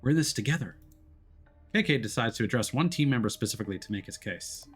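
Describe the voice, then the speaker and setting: A man's voice: fairly low pitch, animated, fast, a bit bright, breathy edge, soft background music. A man streaming a game, switching between reading narration aloud and casual commentary.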